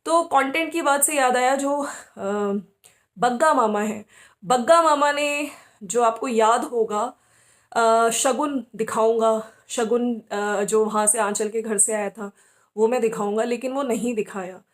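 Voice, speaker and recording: High-voiced woman giving opinionated commentary. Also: a little slow. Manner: conversational, opinionated, often checking with listeners using tag words. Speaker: YouTube commentary host. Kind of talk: informal opinion commentary on family vloggers.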